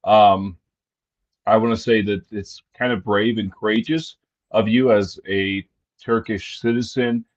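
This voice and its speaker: A male host runs a live-stream interview with a fairly low voice. His questions are friendly and easygoing, with fillers. The audio is dull and lo-fi. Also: steady tone, unhurried.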